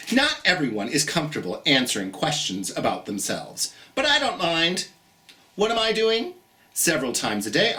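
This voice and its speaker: A children's storytime host reads aloud in an expressive, encouraging way, speaking directly to young listeners. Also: medium pitch. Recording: roomy, echoey sound.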